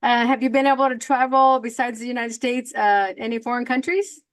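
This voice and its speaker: A woman in her sixties interviewing a singer, chatty and quick to laugh. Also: a little high. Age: 60s. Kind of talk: friendly interview.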